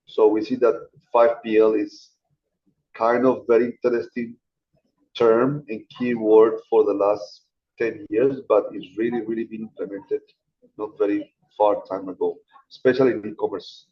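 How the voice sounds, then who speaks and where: A man gives an online lecture in a loose, conversational way, calling his students guys. His voice sits low. The call audio is dull and lo-fi. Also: flat delivery, normal speed. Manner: casual, chatty teaching, addresses students as guys. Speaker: guest lecturer.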